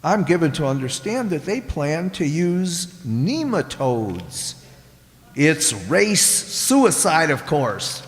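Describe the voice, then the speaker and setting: A man's voice: a little high, sing-song, takes it slowly. An actor playing a fretful carrot father and government official in a comic radio play, formal and gloomy in manner. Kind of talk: comic science fiction radio drama acting. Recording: echoey hall with long reverb.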